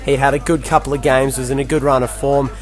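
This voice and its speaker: A man with a croaky, worn voice gives a casual play-by-play recap of a video game football match. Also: mid-range voice, level tone, quick pace, faint music behind. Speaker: gaming commentator.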